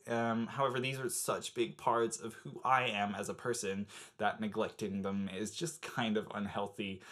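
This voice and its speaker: A man's voice: lowish voice, fairly bright tone. A young man from the Netherlands speaking English with an American accent, his first language Dutch, chatting to camera with lots of fillers. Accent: American-sounding English. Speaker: vlogger. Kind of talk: casual talk to camera.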